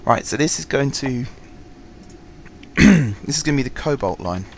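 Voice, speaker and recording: A man with a sore throat, slightly husky, casually commenting on a game through a headset, rambling and apologetic with many ums. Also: medium pitch, lively intonation, brisk, slight background noise. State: sore throat, fighting coughs. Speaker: gaming channel host. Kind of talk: casual let's-play commentary.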